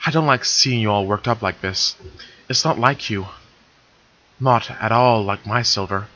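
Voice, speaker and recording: A man's voice: mid-range voice, big pitch swings, moderate pace, bright, forceful tone, slightly rough, lo-fi sound, full character voice. A man voicing a male pony, affectionate and teasing, in a sly manner close to his own voice. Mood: tender.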